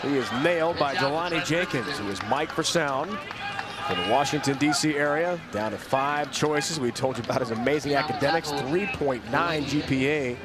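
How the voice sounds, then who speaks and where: An American man calling a football game live as play-by-play announcer, getting excitable on big plays. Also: medium pitch, normal speed. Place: outdoor football stadium. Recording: very noisy outdoor stadium broadcast.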